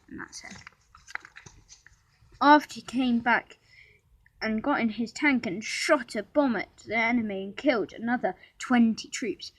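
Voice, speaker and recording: A female-sounding amateur storyteller reading a homemade story aloud, halting, with restarts and informal asides to listeners. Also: medium pitch, a bit bright, breathy edge, low-quality recording.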